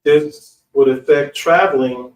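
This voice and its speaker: American male, slightly high voice, informal and halting storyteller, recorded at a distance from the mic. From United States. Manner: informal, slangy, halting delivery. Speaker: online speaker and channel host. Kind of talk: casual personal storytelling. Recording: echoey room, distant from mic.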